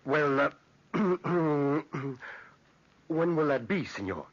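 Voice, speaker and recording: An actor in old-time radio drama playing a fussy, suspicious old magistrate who hems and haws pompously. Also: male voice, fairly high pitch, expressive, extremely slow, heavily acted. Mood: hesitant accusation. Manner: hemming and hawing, pompous. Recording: dull, lo-fi old radio recording.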